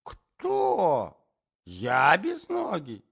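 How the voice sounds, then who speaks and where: A man's voice: a little high, huge pitch swings, drawn-out words, gravelly, fairly bright tone, breathy edge, highs cut off, voice pushed up, heavily acted. A man voicing a bewildered, vain old prince in a storybook reading, muddled and plaintive, with affected aristocratic manners. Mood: befuddled protest. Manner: muddled, plaintive, lingering on words, affected French phrases.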